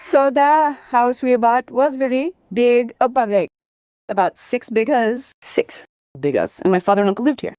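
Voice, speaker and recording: A woman's voice: on the high side, normal speed, a little mellow, no echo, clean studio sound. A woman, an interview guest telling personal stories and acting out quoted family dialogue as she goes. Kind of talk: personal storytelling.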